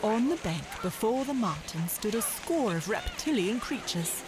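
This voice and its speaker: Expressive woman narrating a storybook tale. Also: medium pitch, moderate pace. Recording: music underneath.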